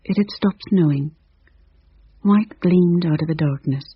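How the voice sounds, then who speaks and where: A woman with a very breathy, husky voice reads a suspenseful story in hushed tones. The recording is dry and close, with a slightly dull sound. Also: fairly low pitch, measured pace, no echo. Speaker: narrator. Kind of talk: storybook narration.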